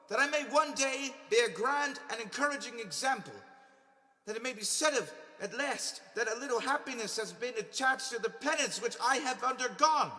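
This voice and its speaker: A man's voice: very high voice, sharp tone, exaggerated voice. A man playing a guilt-ridden ex-convict turned town benefactor in a first-person audio drama monologue, questioning and justifying himself in a theatrical, agonized manner. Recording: long hall-like reverb.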